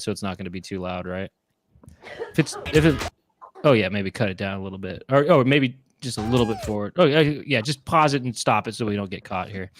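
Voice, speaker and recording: A man in his thirties co-hosting a casual live online chat show from a small room at home, talking into a handheld mic in a rambling, self-correcting way. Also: lowish voice. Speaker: co-host. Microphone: handheld mic with headphones.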